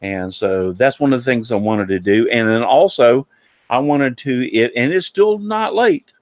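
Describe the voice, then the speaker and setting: A man hosting a casual live-streamed woodworking talk show, sounding nasal and a little hoarse, rambling with many fillers and restarts. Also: mid-range voice, animated, measured pace, no echo, studio quality.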